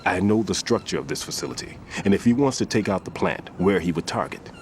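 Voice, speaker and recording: A man playing a methodical, somber veteran bomb disposal expert in a video game cutscene, explaining precisely and gravely. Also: sits low, lively intonation, fairly quick, a little hiss or hum. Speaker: demolition expert giving instructions.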